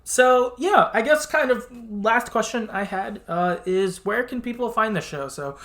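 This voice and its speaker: A man hosting a casual podcast interview, speaking in an affirming, halting way and often repeating words. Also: high-pitched, lively intonation, a bit bright. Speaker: podcast host.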